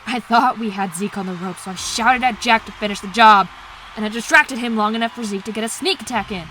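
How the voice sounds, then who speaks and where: A woman's voice: on the high side, a little fast, pushed and bright, slight background noise. Spirited woman playing a young female fighter. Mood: rueful, spirited. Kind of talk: visual novel voice acting.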